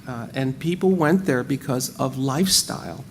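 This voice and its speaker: A man's voice: medium pitch, normal speed, a little hiss or hum. A man with an American accent speaking animatedly on a panel, repeating words as he thinks. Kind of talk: panel discussion.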